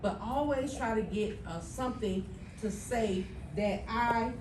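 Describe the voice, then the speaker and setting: A woman's voice: fairly high pitch, unhurried, noisy background. A woman teaching a middle school class, emphatic and checking in with students often. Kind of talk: classroom instruction. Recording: echoey classroom, mic far off.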